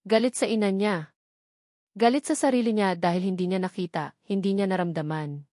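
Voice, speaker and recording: A woman's voice: medium pitch, fairly quick, mellow, no echo, clean studio sound. A woman reading a scripted dramatic story as its narrator.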